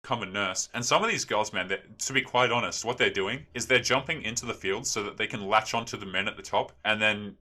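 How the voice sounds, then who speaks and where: A man's voice: lowish voice, brisk, few pauses, pushed and bright. A man in a played clip giving an opinionated, somewhat hesitant monologue.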